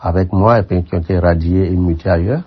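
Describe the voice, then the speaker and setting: Low husky older man, measured. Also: steady tone. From Burkina Faso. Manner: measured, reflective, with frequent hesitations. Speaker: former military aide, interview guest. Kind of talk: radio interview. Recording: muffled lo-fi sound.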